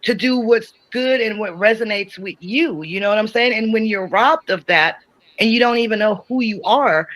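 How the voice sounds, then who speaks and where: A woman's voice: fairly high pitch, normal speed, a bit bright, low-quality recording. A woman who grew up in Germany, talking earnestly in a casual podcast chat and often checking in with "you know what I'm saying". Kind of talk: casual conversation.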